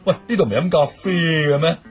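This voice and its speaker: A man's voice: fairly high pitch, animated, fast talker, soft background music, lightly acted. A man playing a nosy, baffled, blunt uncle with a Hong Kong Cantonese accent in an audio drama, peppering his talk with exclamations and questions.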